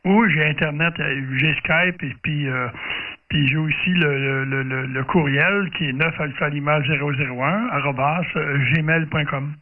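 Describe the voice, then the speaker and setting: A man with a Quebec French accent chatting casually as an amateur radio operator, in a halting, hesitant way. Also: fairly high pitch, steady tone. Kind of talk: casual radio contact chat. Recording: tinny band-limited radio audio, distant from mic.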